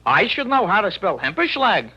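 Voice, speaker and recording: A woman's voice: medium pitch, big pitch swings, moderate pace, bright, forceful tone, husky, exaggerated voice. A woman playing a streetwise, nervous errand runner for crooks in vintage radio drama, with slangy, stammering speech. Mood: alarmed, pleading. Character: jittery, streetwise female delivery runner for crooks. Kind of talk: 1950s radio drama acting. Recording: dull, noisy vintage radio recording.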